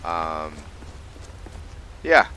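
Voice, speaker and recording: A man with a low voice narrates his own gameplay in a casual way. His delivery is slow and halting, as if thinking aloud, and his tone has a bright, forceful edge. Some noise sits behind the voice. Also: very animated, poor audio. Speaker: video game streamer, let's-play host. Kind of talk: casual roleplay gameplay commentary.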